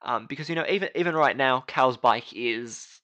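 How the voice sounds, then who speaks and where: Bright, chatty man talking motorsport. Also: medium pitch, normal speed. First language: English. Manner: chatty, frequent fillers and restarts. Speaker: podcast co-host. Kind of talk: casual motorsport chat.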